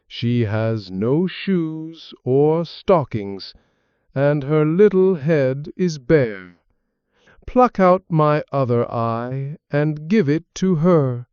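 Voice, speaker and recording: Narrator voicing a grieving statue prince, imploring, lilting pitch, slightly dull dry sound. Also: male voice, smooth, no room sound. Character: sorrowful generous prince statue.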